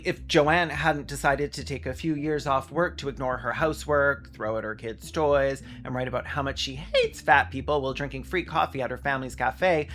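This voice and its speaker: Sardonic, higher-pitched man hosting a video essay. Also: fairly bright tone. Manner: sardonic, mock-dramatic, conversational. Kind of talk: sarcastic video essay commentary.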